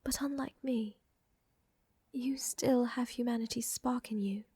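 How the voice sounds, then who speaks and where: A woman plays a refined vampire countess, gently dominant and devoted to her lover. Her voice sits a little high, soft and very breathy, often slipping into a whisper. She takes her time with every phrase, close to the microphone in an ASMR roleplay. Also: steady tone, unhurried, dry recording. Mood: wistful, earnest. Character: tender, seductive female vampire countess, protective lover. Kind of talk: intimate ASMR audio roleplay. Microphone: close, dry microphone.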